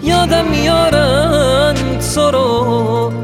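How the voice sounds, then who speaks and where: A woman's voice: high voice, over loud music. A woman singing a sentimental pop love ballad. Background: loud musical instruments.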